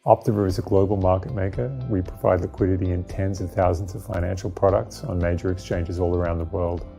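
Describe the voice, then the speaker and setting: Deep gravelly man over music. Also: level tone, fast. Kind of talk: scripted ad narration. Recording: voice-over with clear music behind.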